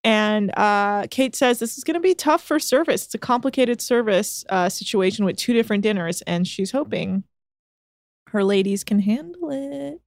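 A woman recapping a show in loose, sarcastic chat and swearing freely. Her voice sits at an ordinary pitch for a woman. The recording is close and dry. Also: animated, moderate pace, gravelly, no echo. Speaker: podcast co-host.

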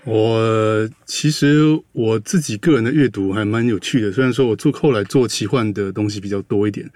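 A man's voice: lowish voice, normal speed, a little mellow, no room sound, clean studio sound. A middle-aged man with a Taiwanese Mandarin accent, talking casually as a guest in a discussion. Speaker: guest, translator.

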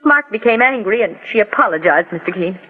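A woman's voice: mid-range voice, animated, a little fast, slightly rough, narrow, tinny audio. Solicitous, wounded genteel lady. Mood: uneasy, hurt. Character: soft-spoken genteel woman, solicitous and wounded. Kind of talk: 1940s radio acting. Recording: band-limited old 1940s radio recording.

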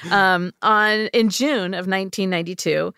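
A woman hosting a podcast, telling a story in casual chat, animated and jokey. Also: medium pitch, moderate pace, crowd noise. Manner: animated, jokey, mimics voices.

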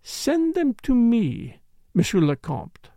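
A reader voicing a fiery foreign femme fatale, swooping pitch. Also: huge pitch swings, a little slow, darkish tone, no room sound, studio quality, full character voice. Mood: businesslike, coaxing. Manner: emphatic, dramatic, accusing. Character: fiery, scheming foreign female dancer, passionate and insistent.

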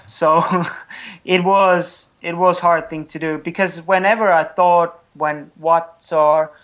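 A young man with an Estonian accent, a non-native English speaker, giving candid, good-humoured interview answers as a triathlete and pausing to search for words. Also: fairly high pitch, fairly even intonation, fairly bright tone. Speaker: triathlete guest.

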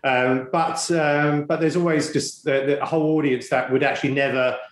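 A man hosting a conversational interview, speaking haltingly with repeated words and frequent "you knows". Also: a little high, fairly even intonation. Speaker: podcast host. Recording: echoey room.

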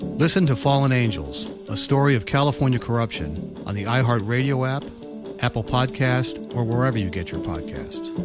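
A man, an investigative reporter, reading a podcast promo in a measured, serious tone. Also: mid-range voice, lively intonation. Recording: voice over loud music.

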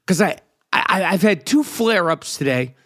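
A man with a notably high voice talks through a loose comedic monologue as a podcast host. He rambles, swears freely and pokes fun at himself. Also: lively intonation, a little slow, fairly bright tone. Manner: rambling, profane, self-mocking.

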